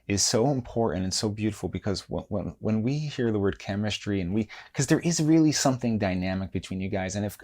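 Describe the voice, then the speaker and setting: A man hosting an interview podcast, asking long questions in an earnest, halting way, often repeating words as he works toward a point. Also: fairly low pitch, darkish tone. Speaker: podcast host.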